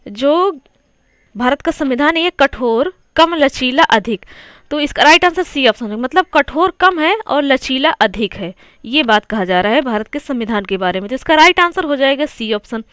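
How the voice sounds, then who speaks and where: A woman's voice: sits high, animated, moderate pace, a bit bright, clean tone, dry recording, studio quality. A woman teaching an exam coaching lesson, going through quiz answers in a conversational way and asking the listener questions. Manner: conversational, repeats points and asks the listener questions.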